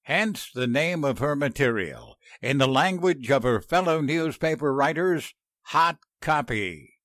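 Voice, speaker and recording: Male announcer, 1940s radio style, measured slow pace, sweeping intonation, emphatic, dry studio sound. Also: on the high side, animated, fairly bright tone, no echo. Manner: dramatic, deliberate emphasis.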